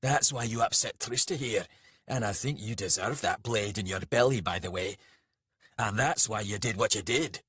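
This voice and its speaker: Man doing a husky, blunt big brawler. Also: fairly low pitch, normal speed, fairly bright tone, no room sound, clean studio sound. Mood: bitter, menacing. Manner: blunt, sweary, goading. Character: big, fierce, scowling male brawler, blunt and foul-mouthed.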